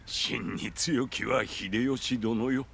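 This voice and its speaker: An actor playing a solemn, humble, dutiful samurai lord in a video game, with formal archaic speech. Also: male voice, medium pitch, steady tone, drawn-out words, gravelly, fairly bright tone, slight background noise, exaggerated voice.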